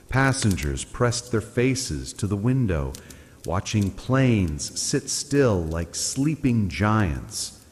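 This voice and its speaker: Slow, expressive male storyteller in long reverb. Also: deep voice. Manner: calm, soothing, dramatic storytelling. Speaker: narrator. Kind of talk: scripted bedtime story narration.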